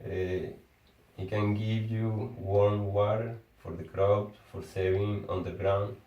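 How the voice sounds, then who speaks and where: A man with a Venezuelan accent chats casually, his voice sitting a bit low. His English is halting, and he restarts words as he hunts for the right phrase. He sounds far from the mic in a roomy, echoing space. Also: flat delivery, warm tone, muffled recording. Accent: Venezuelan Spanish. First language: Spanish. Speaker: engineer. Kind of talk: casual conversation. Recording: echoey room, far from the mic.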